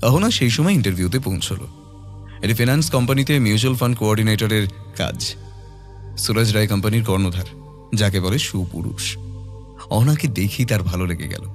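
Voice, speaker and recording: Male narrator for scripted horror audio story, low register, dramatic delivery over music.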